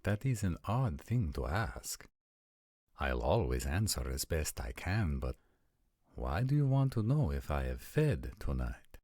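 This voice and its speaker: A man voices a vampire prince for a fantasy roleplay. He is extremely low in pitch and unhurried, with formal, deliberate phrasing. He sounds courtly, softly amused and intimate, in a dry, close recording. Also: big pitch swings, dry recording, exaggerated voice. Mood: patient, intrigued.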